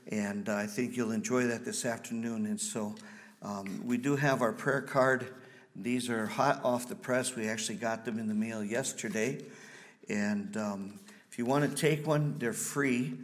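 A man in his fifties with a Wisconsin accent, a missionary giving a folksy, halting church presentation full of ums. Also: mid-range voice. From Wisconsin, USA.

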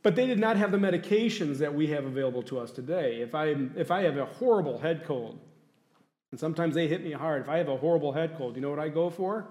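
A man's voice: on the high side, animated, quick pace, darkish tone. A male pastor preaching a sermon in a conversational way, often asking the congregation questions. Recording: echoey room.

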